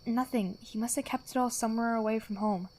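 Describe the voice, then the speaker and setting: A woman playing a blunt, squeamish mercenary, expressive and exasperated, in an audio drama. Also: on the high side, a little fast, lightly acted. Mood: disgusted, uneasy.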